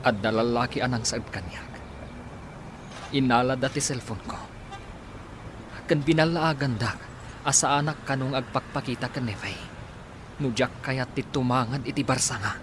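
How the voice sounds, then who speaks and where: A man's voice: medium pitch, moderate pace, long pauses, noisy background, lightly acted. An actor playing an earnest, heartbroken young man with an Ilocano accent in a Filipino radio drama. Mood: guarded.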